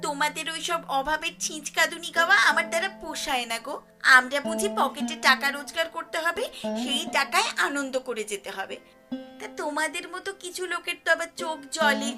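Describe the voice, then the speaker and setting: A high-pitched, boastful village wife from a cartoon folk tale, acted by a woman. She sneers in sing-song taunts. Music plays clearly behind her. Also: natural voice. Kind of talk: Bengali moral-tale cartoon voice acting.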